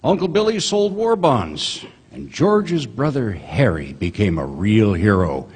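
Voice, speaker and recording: A man playing an authoritative heavenly angel who tells a story in an emphatic, theatrical old-time radio manner, with a husky voice. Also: medium pitch, sing-song, unhurried, a little mellow, exaggerated voice. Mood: narrating, animated. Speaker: actor in a live radio drama. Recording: reverberant theater stage recording.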